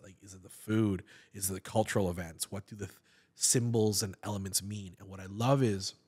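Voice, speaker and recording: A man in his thirties chats as a podcast guest, his voice sitting a little low. He talks in an animated way, tripping over repeated words and restarting his sentences. The sound is close and clean, from a studio mic on a boom stand. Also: slightly rough. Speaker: podcast guest, actor and comedian. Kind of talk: casual interview chat.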